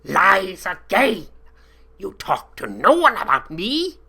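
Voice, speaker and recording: A man's voice: high voice, huge pitch swings, vocal fry, sharp tone, husky, voice pushed up, exaggerated voice. A man voicing a brutal skinny male henchman who growls in broken English with a thick foreign accent. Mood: snarling. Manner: growling, slow and heavy.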